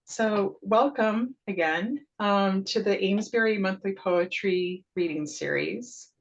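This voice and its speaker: A woman's voice: mid-range voice, slow pace, gravelly, lo-fi sound. A woman hosting a poetry reading over a video call, welcoming the audience and introducing the featured poet, with frequent ums. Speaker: host and town poet laureate.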